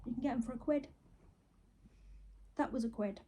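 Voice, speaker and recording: British female vlogger, fairly high and mellow voice, rambling casual self-talk, playful delivery. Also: moderate pace, a little hiss or hum. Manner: chatty, playful, talks and mutters to self. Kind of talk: casual rambling chat to camera while wrapping gifts. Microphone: phone.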